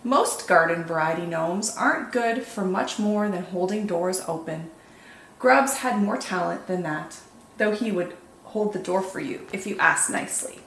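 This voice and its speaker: A woman reading picture books aloud as a children's storytime host, expressive and deliberate, with drawn-out dramatic emphasis. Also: lowish voice, creaky. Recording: echoey room, faint background noise.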